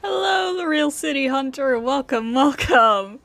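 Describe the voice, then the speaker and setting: A woman streaming a game with casual, playful, giggly commentary that gets halting when she explains. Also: sits high, lively intonation, fairly bright tone, no room sound.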